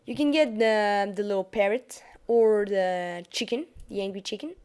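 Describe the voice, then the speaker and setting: A woman's voice: medium pitch, normal speed, darkish tone, clear, resonant tone. A young woman with a French accent, chatting casually as she narrates a card game on screen, often restarting her sentences. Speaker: gaming YouTuber. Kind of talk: casual gameplay commentary over screen capture.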